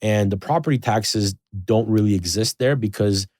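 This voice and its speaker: A man's voice: on the low side, moderate pace, no echo, clean studio sound. A man in a casual podcast conversation, talking in a loose, rambling way with lots of fillers. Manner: rambling, filler-heavy, animated storytelling.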